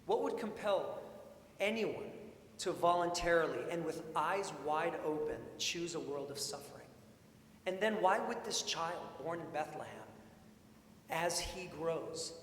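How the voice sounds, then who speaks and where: A man preaching a sermon in an informal, expressive way, with storytelling and questions repeated for emphasis. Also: fairly high pitch, a little slow. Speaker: preacher, priest. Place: large indoor church sanctuary. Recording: echoey church hall, long reverb, faint noise.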